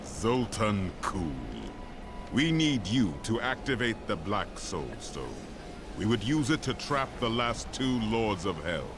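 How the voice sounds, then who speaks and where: An actor playing a noble, grave angel turned mortal in a fantasy game, speaking in a formal, measured way. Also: male voice, on the low side, very animated, background noise. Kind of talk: fantasy video game voice acting. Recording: loud music underneath. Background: music.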